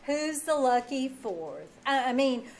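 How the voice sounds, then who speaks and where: A woman's voice: high voice, very animated, slow pace, heavily acted. A woman playing a wisecracking, slightly scatty schoolteacher with a wry, stammering manner, in an old-time radio comedy. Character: wisecracking female schoolteacher, scatty and drily teasing. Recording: noisy live theater stage recording.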